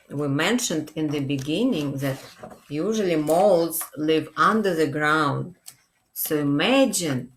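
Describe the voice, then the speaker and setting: Slow, low-voiced woman reading to children. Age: middle-aged. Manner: gentle, expressive, addressing young children with questions. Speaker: children's librarian. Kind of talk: read-aloud picture book storytime. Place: small indoor room.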